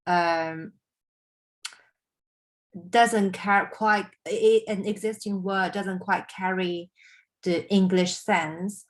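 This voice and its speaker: Slow, hesitant woman translator. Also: on the low side. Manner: hesitant, searching for words, many fillers. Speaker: translator and artist. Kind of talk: online panel discussion.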